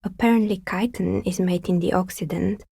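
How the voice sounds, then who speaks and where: An actress voicing a dry, sceptical woman dicemaker in a video game, with a soft, breathy, husky voice and a wry, understated delivery. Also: fairly low pitch, fairly even intonation, fairly quick, no room sound. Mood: wry, matter-of-fact. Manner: wry, understated, matter-of-fact. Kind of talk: video game character dialogue.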